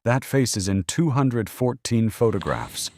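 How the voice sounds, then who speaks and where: A man's voice: medium pitch, very animated, measured pace, darkish tone, no echo, studio quality. A man co-hosting a horror podcast, giving scripted, incredulous and nervous reactions to the story. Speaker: podcast co-host.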